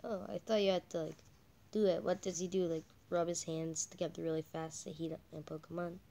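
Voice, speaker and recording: A woman doing casual, halting game commentary as a channel host, her voice croaky from a cold. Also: on the low side, flat delivery, mellow. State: croaky with a cold, coughing and throat-clearing.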